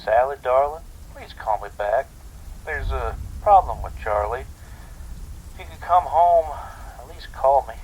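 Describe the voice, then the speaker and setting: A male actor plays a tired father in an audio drama, bantering drily and playfully. His pitch moves around a lot, and there is faint noise behind him. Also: animated, a little slow, darkish tone, lightly acted, rough recording. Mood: worried, pleading. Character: weary, teasing father of a teenage daughter.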